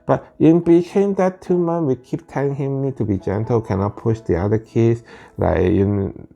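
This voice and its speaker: A man in his thirties with Cantonese as his first language, telling a personal parenting story in a halting way, with many restarts and fillers. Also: medium pitch, vocal fry, warm tone. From Northern California Bay Area. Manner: halting, frequent "like" and restarts, plain non-native phrasing. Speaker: parent vlogger. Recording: faint guitar music behind.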